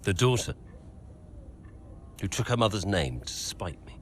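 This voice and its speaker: Cold rich investor, low voice, clipped, lively pitch. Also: male voice, measured pace, slight background noise. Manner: curt, clipped. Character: cold rich male investor, older father. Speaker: team investor and father.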